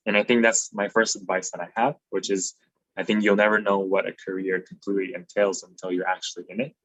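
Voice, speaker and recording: A man's voice: sits low, no room sound, low-quality recording. A man in his twenties from Taiwan, a dental resident giving an easygoing slide talk over a video call, with many ums and uhs. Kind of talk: informal slide presentation.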